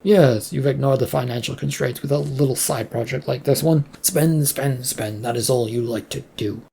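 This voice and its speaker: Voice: mid-range voice, normal speed, vocal fry, warm tone, a little hiss or hum, natural voice. A narrator voicing a defiant, dismissive female company boss with a curt, defensive manner, in an audio story. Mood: scolding.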